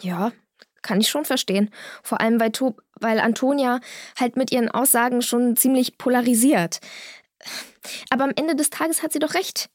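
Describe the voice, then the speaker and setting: A woman's voice: fairly high pitch, normal speed, no echo, clean studio sound, natural voice. A woman playing an earnest, defiant young climate activist answering questions in an audio drama interview.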